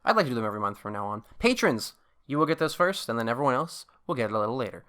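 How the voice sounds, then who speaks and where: A man's voice: mid-range voice, sing-song, rapid-fire, sharp tone. A man in his early twenties chatting casually as a podcast co-host, informal and often circling back over his own words. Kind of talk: casual rambling chat.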